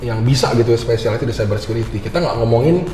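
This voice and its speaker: A mellow-voiced man talks quickly in a casual interview. He speaks informally and drops in English terms. The room rings with long reverb, and music plays behind him. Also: medium pitch. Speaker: interview guest, university lecturer.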